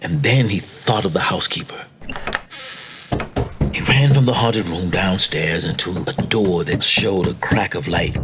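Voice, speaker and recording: A man reading a classic story as narrator, measured and dramatic, often dropping into a hush. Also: deep voice, noisy background.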